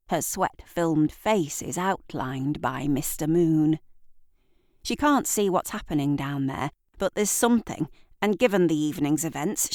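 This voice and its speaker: A woman reading scripted literary narration for an audio drama in a deliberate, dramatic storytelling manner. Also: deep voice, darkish tone, no room sound, studio quality.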